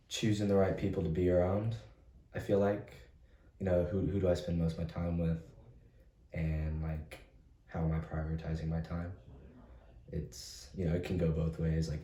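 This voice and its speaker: A twenty-year-old man answering interview questions in a soft, easygoing way, leaning on "like". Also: very deep voice. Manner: soft-spoken, fills with "like". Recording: roomy, distant mic, faint noise.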